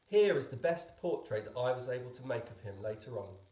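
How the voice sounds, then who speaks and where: A man narrating a storybook as a teacher would, expressively, with deliberate pauses. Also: mid-range voice, lively intonation, warm tone, roomy sound. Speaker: teacher reading a book aloud.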